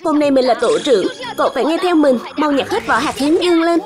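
A woman's voice: high voice, expressive, quick pace, crowd noise. A woman voicing an earnest, insistent little boy who is proud to lead, in a dubbed children's cartoon. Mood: bossy, stern. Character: earnest, dutiful little boy, proud young group leader.

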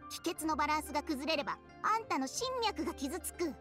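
High-voiced, knowing snake spirit. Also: female voice, lively intonation, a little fast, fairly bright tone. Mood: chiding concern. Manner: knowing, frank, casual speech. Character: wise, blunt female talking snake companion. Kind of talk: Japanese video game voice acting. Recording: studio voice over game music. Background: background music.

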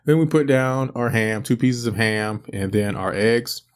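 A man in his thirties casually narrating a home cooking video, relaxed and rambling with frequent fillers. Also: medium pitch, unhurried. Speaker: cooking channel host. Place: home kitchen.

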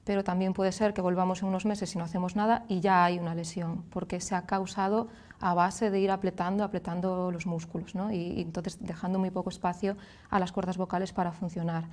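A woman in her thirties with a Galician Spanish accent, a speech therapist explaining clearly and patiently as a guest in a TV studio. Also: on the low side, little pitch movement, brisk, a little mellow. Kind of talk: explanatory interview answers.

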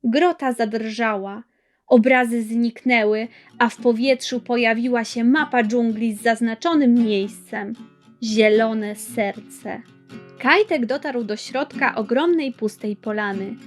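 Slow, high-voiced woman telling a children's tale. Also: steady tone. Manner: measured storytelling. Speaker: audiobook narrator. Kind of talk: scripted children's fairy-tale narration. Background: plucked guitar music.

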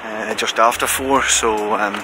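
A man vlogging a road trip in a relaxed, musing way, with soft chuckles, talking outdoors on a coast. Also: fairly low pitch, a little slow, rough recording. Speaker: travel vlogger. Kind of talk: casual vlog narration. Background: loud music.

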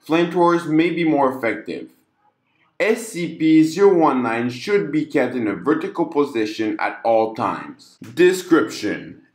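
A man reading out a formal, clinical document in a measured, steady way. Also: medium pitch, reverberant.

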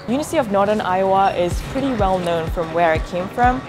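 A woman's voice: mid-range voice, expressive, brisk. Young woman, Malaysian accent, medium register, student promo testimonial, music underneath. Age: young adult. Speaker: university student. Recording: music behind the voice.

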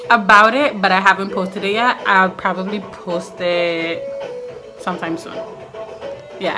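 A woman in her twenties from Trinidad chatting casually to the camera as she does her makeup. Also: medium pitch. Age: 20s. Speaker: beauty vlogger. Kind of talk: casual chat to camera while doing makeup. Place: small indoor room with curtains and couch. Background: music playing.

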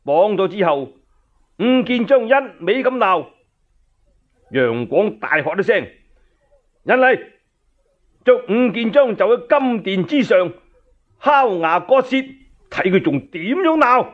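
A Cantonese man tells a story solo in dramatic radio style. He speaks slowly, with a lively, wide-ranging pitch. The recording sounds a little dull.

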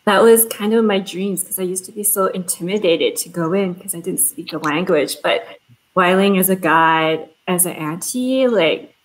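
A woman's voice: lowish voice, normal speed, poor audio. A woman on a video call speaking earnestly and fondly. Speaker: collective member. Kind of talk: casual panel chat on video call.

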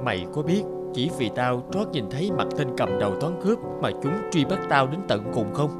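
An actor voicing a frightened, weary fugitive in an animated fairy tale, talking to himself dramatically. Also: male voice, mid-range voice, moderate pace, close to an everyday voice. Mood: weary, resigned. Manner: dramatic, expressive self-talk. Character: frightened, weary male fugitive hiding in a cave. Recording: loud music under the voice.

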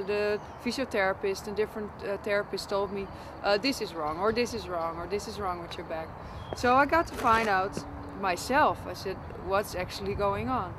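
A woman in her thirties with a Dutch accent, English not her first language, talking casually to camera outdoors, often pausing and restarting. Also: medium pitch, background noise. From the Netherlands. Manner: chatty, halting, restarts often. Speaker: massage teacher. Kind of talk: casual talk to camera and massage demonstration. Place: outdoors in front of a building.

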